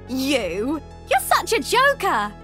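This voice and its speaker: A cheeky young video game heroine. Her voice is extremely high and bright, with very lively pitch. She teases playfully and sounds upbeat. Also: heavily acted. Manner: playful, teasing. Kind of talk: scripted video game character lines.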